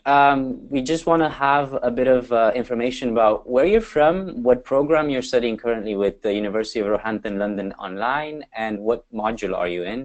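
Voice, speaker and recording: A man moderating an online webinar panel, upbeat and filler-heavy, speaking over a video call. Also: mid-range voice. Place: Amsterdam.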